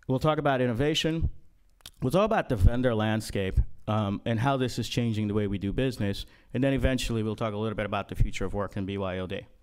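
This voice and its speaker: Brief: male conference keynote speaker, lower voice, relaxed and joking, filler-heavy delivery. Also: fast, clean tone. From Guyana. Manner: casual, joking, many ums and uhs. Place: conference room.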